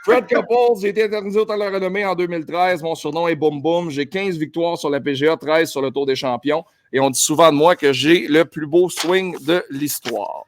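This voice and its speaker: Québécois guy running a golf quiz, pretty high voice, playful and quick. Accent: Québécois French. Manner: upbeat, quick, playful announcer tone. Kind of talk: casual chat and quiz hosting.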